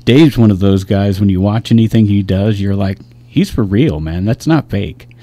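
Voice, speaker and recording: Middle-aged man, lowish pitch, laid-back radio host, agreeable, casual chat, studio microphone. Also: moderate pace, dark tone, no echo.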